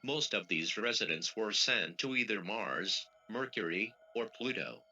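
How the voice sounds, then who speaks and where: A man's voice: lowish voice, lively intonation, measured pace, pushed and bright. A synthetic male text-to-speech voice reading a scripted emergency alert in a formal, mechanical way. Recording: lo-fi audio with music behind.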